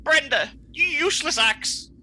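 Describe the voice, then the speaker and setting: A man's voice: extremely high pitch, lively intonation, unhurried, sharp tone, slight background noise, heavily acted. A man playing a flamboyant, egotistical villain in a comedy radio play, pompous, petulant and melodramatic. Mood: furious.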